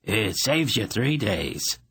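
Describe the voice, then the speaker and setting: Man doing a quarrelsome miner, sneering, contrary, raised pitch, husky. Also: big pitch swings, slow pace, a bit bright, no room sound, clean studio sound, heavily acted. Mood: belligerent. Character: belligerent stubbled male miner, argumentative.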